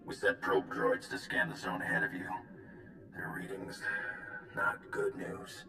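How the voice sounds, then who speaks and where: An actor playing a gruff, battle-weary military planner in a video game, gravelly and clipped as he briefs. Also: measured pace, sharp tone. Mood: grim, blunt. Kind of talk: video game cutscene acting. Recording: echoing sound, music underneath.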